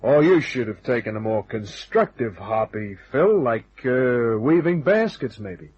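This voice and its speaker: A man's voice: medium pitch, lively intonation, unhurried, darkish tone, breathy edge, no room sound. An actor playing a tough, wisecracking detective hero, telling his story in clipped, wry phrases in an old-time radio crime drama. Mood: wry, taunting. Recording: dull, noisy vintage radio recording.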